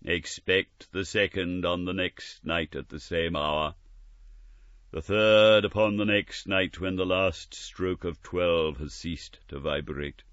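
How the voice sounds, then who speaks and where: A man voicing an anguished, solemn male ghost in chains, with slow, drawn-out wailing cries. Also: low voice, a bit bright, no echo, highs cut off. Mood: grave.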